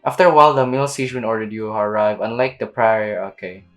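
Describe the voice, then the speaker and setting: A woman's voice: extremely low pitch, fairly quick. A woman streaming a game with casual, slangy, teasing commentary, reading dialogue aloud. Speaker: gameplay streamer. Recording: game music behind the voice.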